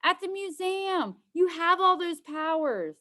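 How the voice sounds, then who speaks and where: A woman's voice: very high voice, lively intonation, measured pace, pushed and bright, pitched above the performer's own voice, full character voice. A woman voicing a protective schoolboy in a children's storybook, insistent, exasperated and prone to bluffing. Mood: accusing. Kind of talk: children's storybook read-aloud.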